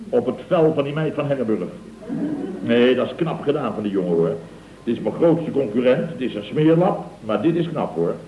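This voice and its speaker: An actor playing a flustered, blustering middle-aged contractor boss who stammers, repeats himself and grumbles in a comic radio drama. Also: male voice, mid-range voice, lively intonation, moderate pace, lightly acted. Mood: grumbling, indignant. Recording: dull, roomy old radio recording with hiss.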